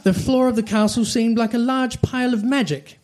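A man's voice: extremely high pitch, fairly even intonation, fairly quick. A man reading comic fiction aloud like a storybook, with dramatic delivery. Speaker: reader of comic fan fiction. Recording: faint background noise and clicks.

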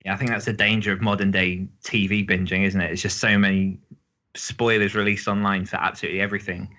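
A man's voice: sits low, flat delivery, quick pace, bright, forceful tone. A man co-hosting a podcast in casual, conversational chat, on a slightly dull recording. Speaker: podcast co-host. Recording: slightly dull, band-limited sound.

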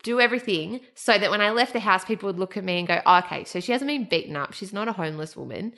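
A woman's voice: mid-range voice, expressive, fast, sharp tone. A woman hosting a podcast, telling a personal story in a candid, emotional way. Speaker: podcast host. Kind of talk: casual chat.

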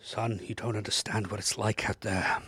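The anxious patriarch of a comedy podcast sketch a man acting it out, haltingly and melodramatically guarding his family secret in a dry studio. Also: fairly low pitch, level tone, pushed and bright, husky, no echo, heavily acted. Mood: weary, wounded. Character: anxious, overprotective father, haunted keeper of a dying language. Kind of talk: improvised comedy role-play.

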